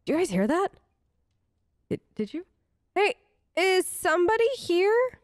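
A woman's voice: extremely high pitch, very animated, unhurried, no echo, clean studio sound. A woman doing casual in-character commentary as she role-plays through a video game, chatty and reassuring, thinking aloud. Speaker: gaming host, role-play let's player. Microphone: close microphone, dry voice-over.

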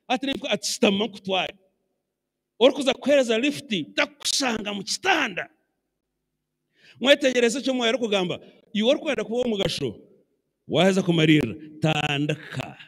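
A middle-aged Ugandan man preaching a sermon as bishop on a handheld mic in a large church, animated and mixing English phrases into his talk. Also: sits high. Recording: long church hall reverb.

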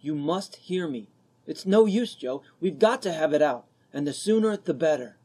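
A man playing an ardent, boyish young gentleman, earnest and pleading, in an old-time radio drama. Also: on the high side, animated, moderate pace.

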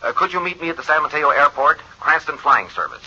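Male insurance adjuster, formal, rapid, raspy, telephone filter, muffled 1950s radio recording. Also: on the high side, big pitch swings, pushed and bright, full character voice. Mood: polite, urgent. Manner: formal, hurried. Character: brisk, polite businessman, an insurance adjuster. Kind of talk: 1950s radio drama acting. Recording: thin, muffled old radio phone line.

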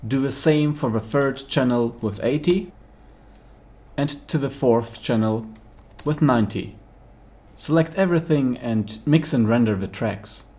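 A man's voice: mid-range voice, a little slow, a little mellow. A man giving concise, matter-of-fact scripted instructions as a tutorial presenter in a voice-over. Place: voice-over for screen recording.

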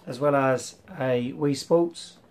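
A young man hosting a casual retro collection vlog, rambling with ums and clearing his throat, his voice slightly worn from losing it. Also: medium pitch, takes it slowly, a little mellow, a little hiss or hum. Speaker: retro game collector vlogger. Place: small room full of toy shelves, indoors.

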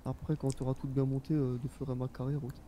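A man's voice: mid-range voice, flat delivery, quick pace, mellow, a little hiss or hum, natural voice. A man playing a young, easygoing Japanese-born rap label boss in a game, talking in informal, rambling slang. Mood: enthusiastic. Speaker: role-player. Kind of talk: casual in-character game chat.

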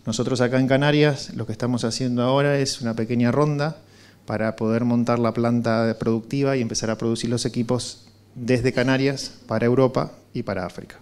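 A middle-aged man with an Argentine accent, pitching a project and answering questions in a halting, searching way into a handheld mic. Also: fairly even intonation, darkish tone, smooth. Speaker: startup founder presenting. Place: indoor conference room with small seated audience. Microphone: handheld microphone.

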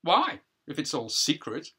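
A man's voice: a little high, wildly swooping pitch, a little slow, sharp tone, husky, voice pushed up, full character voice. A man voicing a sceptical, questioning wife in a stage play, pointed and inquisitive.

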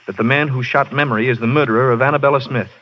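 An actor playing a brisk adult hero, clipped and commanding, on an old radio play recording. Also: male voice, mid-range voice, fairly even intonation, lightly acted. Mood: urgent. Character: brisk adult male hero, urgent and wry. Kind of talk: 1930s radio drama acting. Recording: dull old radio broadcast.

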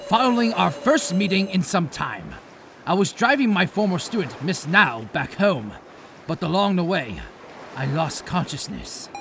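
A man voicing a composed, courteous schoolteacher with a formal, measured manner in a game dub. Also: sits high, big pitch swings, vocal fry, fairly bright tone, a little hiss or hum, full character voice. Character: composed, courteous male high school art teacher. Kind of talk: visual novel game dub, narration and dialogue.

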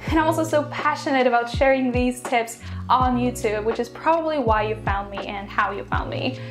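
A young woman from Poland explains languages straight to camera in an upbeat, brisk way. Her voice sits a little high, she is recorded close up in a small bedroom, and music plays clearly underneath. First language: Polish. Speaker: language teacher and YouTuber. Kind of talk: direct-to-camera video lesson.

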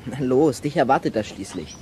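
A man's voice: medium pitch, expressive, fairly quick, close to an everyday voice. An actor playing a curious, cheeky, articulate boy detective in a children's radio play. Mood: curious, uneasy. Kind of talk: German audio drama acting. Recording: clearly noisy background.

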